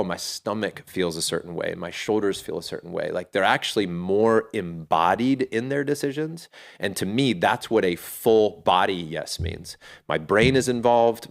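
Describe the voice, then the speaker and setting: Middle-aged male guest, very low voice, earnest, thinks aloud with restarts. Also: creaky. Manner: earnest and reflective, with frequent restarts. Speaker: podcast guest, fatherhood community founder. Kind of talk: casual interview talk.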